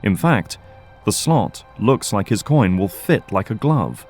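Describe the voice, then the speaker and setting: A man narrates an action audio drama from a script. His voice is fairly low, and soft music plays behind him. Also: expressive, slow.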